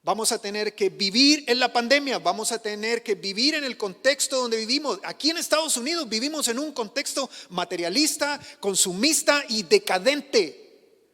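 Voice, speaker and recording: A man from Chile, a pastor, preaching a sermon, pushing his voice up on questions and sinking it low to drive points home. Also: expressive, moderate pace, pushed and bright, long reverb tail. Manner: passionate preaching, rising on questions and dropping low for emphasis. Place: church.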